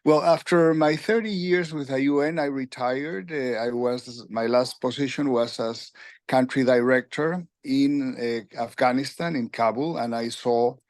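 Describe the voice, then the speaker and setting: A man in his sixties from Peru, a retired UN official telling his career story in an interview, with halting speech full of fillers and restarts. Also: mid-range voice, darkish tone, dry recording, clean studio sound. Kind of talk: conversational interview.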